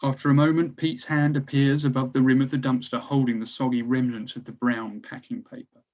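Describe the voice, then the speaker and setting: A man's voice: mid-range voice, flat delivery, a little fast, a little mellow. A slightly muffled, lo-fi recording of a man narrating. He reads screenplay action lines in a mid-range voice, as scripted stage directions.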